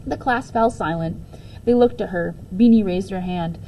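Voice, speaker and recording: Woman, mellow tone, medium pitch, expressive children's read-aloud, faint background noise. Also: moderate pace, low-quality recording. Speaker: teacher reading a book aloud.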